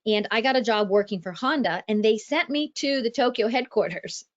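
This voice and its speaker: A woman from Ohio, an author speaking as a podcast guest and telling her story in a measured way. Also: on the high side, highs cut off. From Ohio, USA. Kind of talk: podcast interview.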